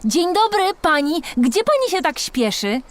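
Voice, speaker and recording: A woman's voice: very high-pitched, big pitch swings, unhurried, pitched above the performer's own voice, heavily acted. A woman voicing a naive, curious little female ant in a children's storybook, eager and childlike, given to exclaiming. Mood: eager, polite.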